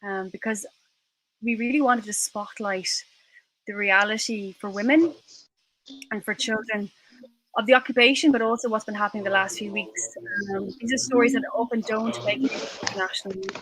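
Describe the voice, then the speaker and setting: A woman's voice: medium pitch, steady tone, normal speed, a bit bright, rough recording. An Irish woman hosting a livestream event and introducing guests. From Ireland. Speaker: event host.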